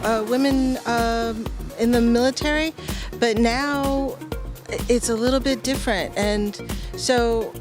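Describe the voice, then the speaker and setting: Slow, soft-spoken woman with music behind. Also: on the high side. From Philippines. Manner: hesitant, many ums and restarts, soft-spoken. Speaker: guest, veteran and art-program founder. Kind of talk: interview answers.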